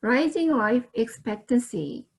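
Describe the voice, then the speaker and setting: A woman's voice: on the high side, animated, slow pace, vocal fry. A young woman from South Korea giving a slideshow lecture, speaking carefully and steadily with some fillers. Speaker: student presenter.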